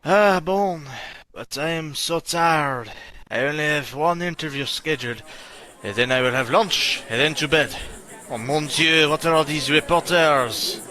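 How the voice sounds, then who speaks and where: Weary French master chef, acted by a man, bright-voiced. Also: on the high side, unhurried. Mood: weary, pleading. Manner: weary, slips into French phrases. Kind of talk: comic audio drama.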